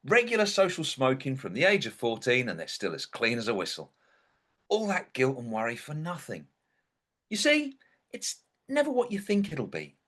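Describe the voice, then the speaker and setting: A man's voice: mid-range voice, huge pitch swings, normal speed, fairly bright tone. Man acting a wry, swooping, sardonic ghost. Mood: wry musing. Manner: wry, theatrical, exclamatory. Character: indignant, sardonic dead young man narrating his own post-mortem. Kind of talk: dramatic monologue in a comic stage play.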